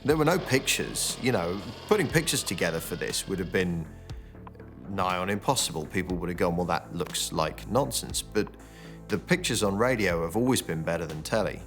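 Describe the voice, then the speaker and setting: Documentary commentator: British man, very low voice, chatty and explanatory, prominent music behind. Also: animated, moderate pace, fairly bright tone. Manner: colloquial, explanatory. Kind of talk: conversational interview.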